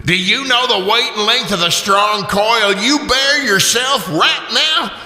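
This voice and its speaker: A man playing a tormented ghost of a dead miser's partner, wailing and declaiming slowly in a radio drama. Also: sits high, pushed and bright, soft background music, voice pushed up, full character voice. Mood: mournful. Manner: slow, drawn-out, wailing declamation with eerie cackles. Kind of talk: radio theater acting. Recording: cavernous hall reverb.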